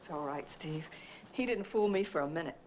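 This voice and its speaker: An actress playing a composed, defiant heroine in a 1940s crime film. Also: female voice, deep voice, lively intonation, brisk, a little mellow. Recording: dull, noisy 1940s film soundtrack.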